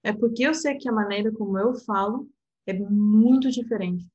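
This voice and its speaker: A woman's voice: medium pitch, moderate pace, darkish tone, highs cut off. A woman from Portugal with a European Portuguese accent, an interpreter talking reflectively and personally, often laughing and adding "sabe?". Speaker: interpreter and guest. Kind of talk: reflective casual conversation.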